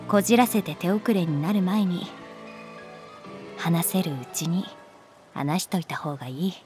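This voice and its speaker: A woman playing a caring, composed female homeroom teacher in a Japanese voice drama, speaking in a calm, measured and gentle way. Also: mid-range voice, close to an everyday voice.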